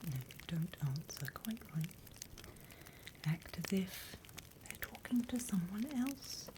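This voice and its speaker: A British woman voicing a prim demon teacher in a soft, deliberate whispered ASMR roleplay. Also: lowish voice, very animated. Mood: patient, instructive. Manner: soft, deliberate, instructional, with pauses. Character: female demon teacher, softly menacing, encouraging, prim.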